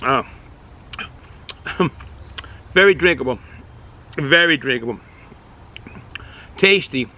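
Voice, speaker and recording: A middle-aged man with a Boston accent, casually reviewing a beer outdoors, rambling and halting with many fillers. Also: medium pitch, expressive, pushed and bright. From Boston area, United States. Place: outdoors, sun in his eyes.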